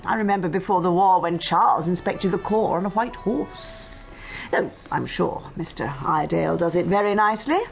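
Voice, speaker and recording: An actress playing a genteel middle-aged schoolmaster's wife in a radio drama, upper-class English, sweetly snobbish and insinuating, with a creaky voice. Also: female voice, fairly low pitch, normal speed, faint music behind. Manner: sugary, insinuating.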